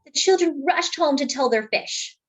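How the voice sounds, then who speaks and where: A woman reading a picture book aloud to young children as a storytime host, expressive and speaking directly to her listeners. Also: high voice, normal speed, rough recording.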